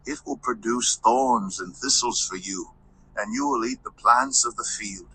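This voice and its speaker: A man reading scripture aloud in a measured, formal delivery. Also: on the low side, pushed and bright, slight background noise, poor audio.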